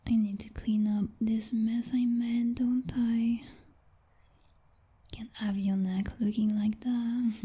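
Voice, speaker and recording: A woman acting as a possessive vampire girlfriend in an intimate ASMR roleplay. Her whisper is very breathy and very soft-toned, unhurried as she teases, dominates and coddles with condescending pet names. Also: on the high side, level tone, full character voice. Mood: teasing, coddling. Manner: slow, purring, teasing, condescending pet names.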